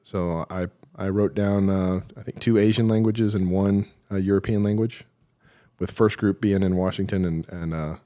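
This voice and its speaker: A middle-aged man with a low voice recounts his military career as an interview guest. He speaks with dry, self-deprecating humor and pauses often with fillers as he collects his thoughts. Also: fairly even intonation, warm tone. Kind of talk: relaxed interview storytelling.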